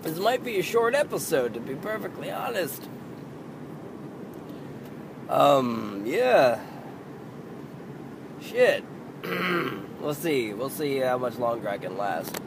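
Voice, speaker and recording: A man in his thirties hosting a casual solo podcast, hoarse and losing his voice, rambling and halting, swearing under his breath. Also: medium pitch, big pitch swings, noisy background. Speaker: podcast host.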